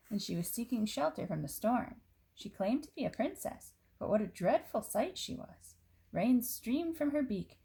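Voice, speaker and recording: Female children's storyteller: expressive read-aloud, very lively pitch, touches of vocal fry. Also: rough recording. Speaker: storyteller reading a picture book.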